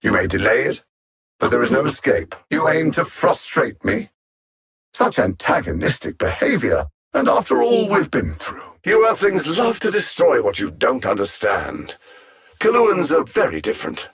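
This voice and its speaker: A man voicing a cold, arrogant alien machine-mind villain in a video game, speaking in precise, formal phrases with theatrical condescension and a gravelly, husky edge. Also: on the high side, wildly swooping pitch, normal speed, a bit bright, heavily acted. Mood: menacing contempt.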